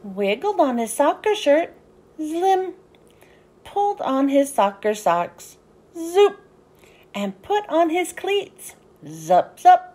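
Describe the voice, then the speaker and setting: A woman reading a children's storybook aloud in an animated, sing-song way with deliberate phrasing. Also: high-pitched. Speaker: children's book read-aloud host. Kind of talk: expressive storybook narration for young children.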